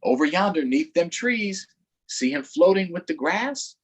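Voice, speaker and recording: A man voicing an excitable, folksy swamp boat guide in a storybook, wary of a gator and speaking with exaggerated delivery. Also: sits high, a little slow, slightly rough, full character voice. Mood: hushed excitement.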